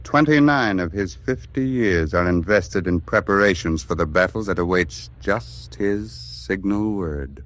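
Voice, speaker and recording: Grave wartime radio narrator guy, low voice, slow n ominous, dramatic pauses. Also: fairly bright tone. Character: grave, brooding male storyteller of a wartime chronicle.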